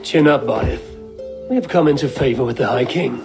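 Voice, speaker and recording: An actor playing a stern, confident, dry-witted Norse warrior in a video game. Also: male voice, medium pitch, a little fast, close to an everyday voice. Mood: calm, confident. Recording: music under dialogue.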